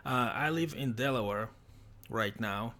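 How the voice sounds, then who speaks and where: A man from Ukraine hosting a casual livestream interview, talking haltingly with many restarts over a computer microphone. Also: medium pitch, sharp tone. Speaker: livestream host.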